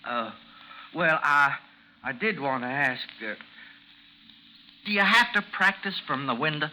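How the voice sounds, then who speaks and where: A man's voice: a little high, lively intonation, measured pace, bright, forceful tone, full character voice. A man acting in a 1950s radio drama as a timid, chatty landlord and old soldier, with a Southern American accent and hesitant, stammering speech. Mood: nervous, apologetic. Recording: noisy 1950s radio broadcast recording.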